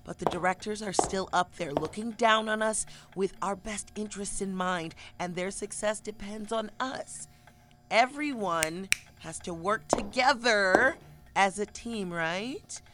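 An actress playing a domineering middle manager in an audio drama, talking in menacing corporate-speak. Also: female voice, mid-range voice, measured pace, over loud music, lightly acted. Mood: placating, corporate.

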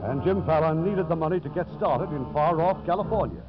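A man's voice: on the high side, brisk. A man hosting a radio theater as its producer, delivering scripted narration in 1950s radio style. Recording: muffled old radio recording, faint music.

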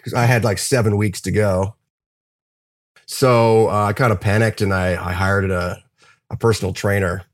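A man's voice: fairly low pitch, fairly even intonation, normal speed, no echo, studio quality. A man talking as a guest in a casual interview, candid and rambling, swearing now and then. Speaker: guest drummer.

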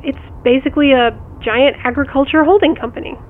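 Audio drama: sharp, wry sister played by a woman, highish voice, direct and probing, thin phone-line sound. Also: fairly quick. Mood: matter-of-fact, probing. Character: sharp, teasing sister of the main character. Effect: phone call filter. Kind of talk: audio drama acting, conversational. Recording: tinny phone line, faint background noise.

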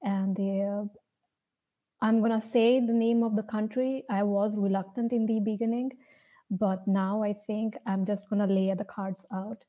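A young woman from India with an Indian accent tells her personal story off the cuff, earnestly and with hesitant pauses. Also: mid-range voice, little pitch movement, highs cut off. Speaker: speaker sharing a personal story. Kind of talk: personal storytelling, unscripted talk.

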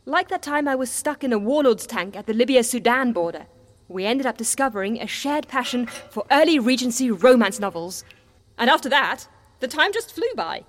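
A breezy, unflappable woman peacemaker played by an actress in an audio comedy, chatting cheerily. Her voice is on the high side and rings in a reverberant hall. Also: animated, moderate pace, natural voice. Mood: upbeat. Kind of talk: audio comedy drama acting.

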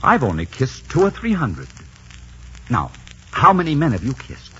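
Bright-voiced, swooping, dashing pirate lover. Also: male voice, medium pitch, wildly swooping pitch, moderate pace, breathy edge, full character voice. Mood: amorous teasing. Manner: theatrical, flirtatious. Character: dashing, cocky male pirate lover, roguish and teasing. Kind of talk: 1940s radio romance acting. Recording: lo-fi 1940s radio, music behind.

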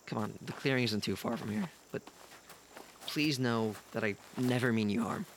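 A man playing a gentle, self-doubting young misfit in an audio drama, earnest, hesitant and apologetic. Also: mid-range voice, moderate pace, slight background noise, lightly acted. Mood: wounded sincerity.

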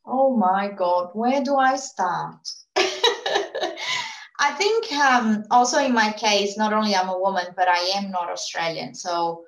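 A woman, a PR agency owner whose first language is not English, answering interview questions in quick, earnest advice with many fillers. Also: medium pitch, expressive. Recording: echoey room.

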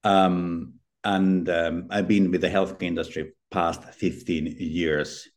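A man's voice: low-pitched, level tone, slow pace. A middle-aged man from Finland, speaking as a podcast guest in a hesitant way, with many fillers and restarts. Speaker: podcast guest, healthcare consultant. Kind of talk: casual interview talk.

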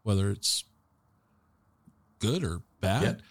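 An American man hosting a casual podcast interview in an informal, somewhat halting conversational way. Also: lowish voice, sing-song, breathy edge, no room sound. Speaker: podcast host.

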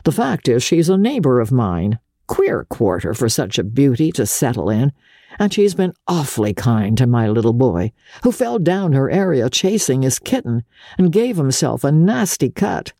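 A woman's voice: extremely low pitch, big pitch swings, moderate pace, warm tone, no room sound, clean studio sound, exaggerated voice. A woman voicing a wry, shabby male journalist with an offhand, sardonic conversational manner in an audiobook of a classic novel. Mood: admiring.

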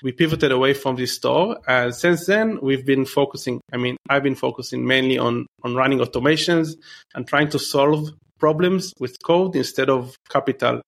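A man's voice: mid-range voice, normal speed, vocal fry. A man in his thirties with an Israeli accent, answering interview questions in a loose, chatty way with many fillers and restarts. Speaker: guest entrepreneur.

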